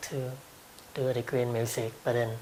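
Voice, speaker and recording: A man's voice: mid-range voice, little pitch movement, fairly quick, a little mellow, slight background noise, rough recording. Young guy w thai accent, lots of ums, chatty interview answers. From Thailand. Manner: hesitant, with frequent ums and light laughs.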